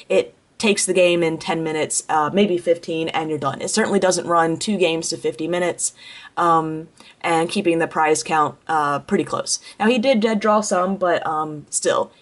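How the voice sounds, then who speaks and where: A woman's voice: low voice, moderate pace. A young woman with a head cold and a congested voice, chatting casually through a tournament recap, full of ums and self-corrections. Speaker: card game player recapping a tournament. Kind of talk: casual spoken tournament report.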